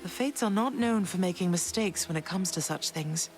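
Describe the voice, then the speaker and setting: A woman playing a gentle, dignified long-lost mother goddess in a video game, speaking formally and with feeling. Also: lowish voice, moderate pace, faint music behind, natural voice. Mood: confused, urgent. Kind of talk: dramatic video game acting.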